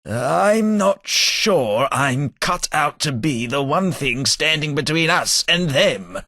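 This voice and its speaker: A man with a British accent voicing a sardonic, world-weary male ghoul companion in a video game, with a dry, sarcastic, upper-class wit and a husky voice. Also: on the high side, animated, takes it slowly, bright, forceful tone, no echo, heavily acted. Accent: British English.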